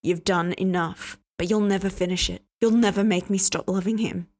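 A woman reading a story aloud, voicing a furious, cornered young man in a low, blunt, hard-edged voice. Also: fairly even intonation, moderate pace, darkish tone, natural voice. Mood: bitter, defiant.

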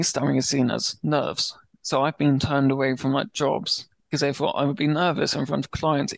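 A young man with a stammer, telling his personal story as a podcast guest and pacing his words slowly before speeding up. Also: mid-range voice, gravelly, dry recording. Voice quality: speaks with a stammer. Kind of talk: candid personal story in an interview.

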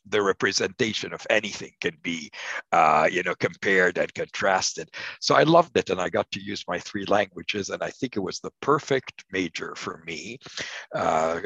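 A man's voice: low-pitched, creaky, a bit bright, husky, no room sound, low-quality recording. A middle-aged man from Lebanon, a professor talking reflectively in an interview, with frequent fillers and restarts. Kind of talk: conversational interview.

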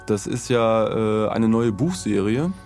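A man co-hosting a podcast, explaining things casually in a measured way with pauses. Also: fairly low pitch, a little mellow, faint music behind. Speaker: podcast co-host.

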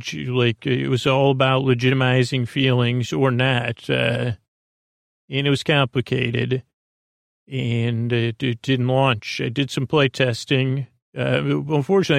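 A man with a creaky, gravelly voice, recorded very dry, hosts a bedtime podcast. He rambles hesitantly, circling back on himself with stammered restarts. His monologue drifts from one tangent to the next to help listeners fall asleep. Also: medium pitch, no echo. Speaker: podcast host.

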